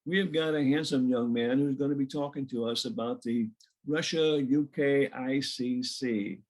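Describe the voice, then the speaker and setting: A man hosting a club meeting over a video call in a relaxed, joking, informal way. His pitch sits in an ordinary middle range. He talks through the introduction casually. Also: moderate pace. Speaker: club president introducing a speaker.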